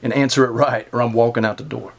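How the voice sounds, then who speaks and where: A man's voice: medium pitch, steady tone, quick pace, vocal fry. A man playing a defiant, foul-mouthed drug dealer with a short fuse in a screen drama, blunt and streetwise. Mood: defiant, impatient. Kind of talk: naturalistic screen drama acting.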